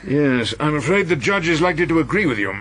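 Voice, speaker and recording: An actor playing an irascible, witty older English barrister who narrates his case in a radio drama, wry and measured. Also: a little high, very animated, over loud music. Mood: mildly sardonic. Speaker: barrister and first-person narrator.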